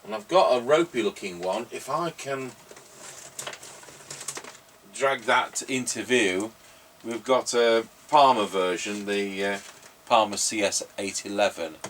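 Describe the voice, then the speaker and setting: A man's voice: lowish voice, slow pace, pauses often, a bit bright. A middle-aged man chatting casually in a rambling, anecdotal way while giving radio test commentary. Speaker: radio repairer and presenter. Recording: noisy, distant microphone.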